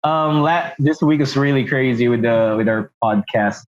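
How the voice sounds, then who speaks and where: Halting Filipino man on a dull line. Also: mid-range voice. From Philippines. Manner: halting, searches for words. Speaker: podcast co-host. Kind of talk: casual chat. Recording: slightly dull, lo-fi sound.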